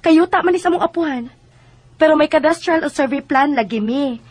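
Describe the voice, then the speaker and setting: A Filipino actress with a Visayan accent playing a haughty, unyielding rich landowner from next door in a radio drama. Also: female voice, high voice, fairly quick, a little mellow, no echo, close to an everyday voice. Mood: self-assured. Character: brazen, defiant well-off woman landowner from next door. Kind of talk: Bisaya radio drama acting.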